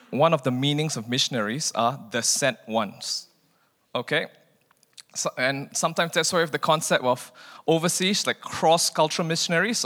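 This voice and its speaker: A man from Malaysia giving a guest sermon in a relaxed, chatty way, often repeating a word as he starts a phrase. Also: medium pitch, big-room sound. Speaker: guest preacher. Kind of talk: informal sermon.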